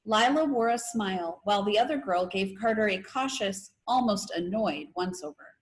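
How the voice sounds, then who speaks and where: Female read-aloud narrator, medium pitch, children's chapter-book storytelling. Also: normal speed.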